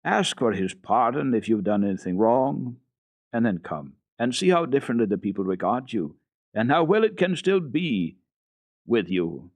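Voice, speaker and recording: Man reading as an earnest, animated village pastor. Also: mid-range voice, moderate pace, dry recording, voice pushed up. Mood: earnest, hearty. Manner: earnest, hearty, preachy. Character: earnest village pastor, male, admonishing but friendly. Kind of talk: dramatic storybook reading.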